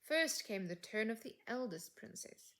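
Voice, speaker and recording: A woman reading a fairytale aloud as a storyteller, with deliberate, expressive phrasing and soft, breathy word endings. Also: on the high side. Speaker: English teacher reading stories.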